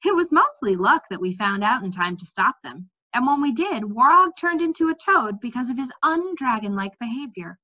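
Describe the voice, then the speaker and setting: A woman reads as a brisk, candid young fantasy heroine from a storybook. She talks in a bright, fairly high voice with animated pitch. The recording is a little dull. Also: full character voice. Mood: matter-of-fact. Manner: matter-of-fact, animated.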